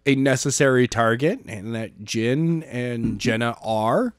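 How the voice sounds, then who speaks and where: A man's voice: medium pitch, measured pace, smooth, no room sound. Wisecracking man on a podcast, dry sound. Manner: playful, wisecracking. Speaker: podcast co-host. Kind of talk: casual joking discussion.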